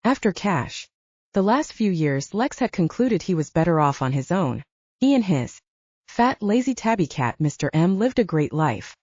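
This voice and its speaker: A woman's voice: lowish voice, very animated, moderate pace, a little mellow, no echo, muffled recording. A woman reading a scripted story as an audiobook narrator.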